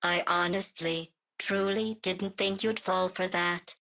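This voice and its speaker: A woman voicing a cold, murderous artificial intelligence in a video game, deadpan, clinical and mock-polite. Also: on the low side, slow, gravelly, exaggerated voice. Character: cold, sardonic, murderous female artificial intelligence running tests.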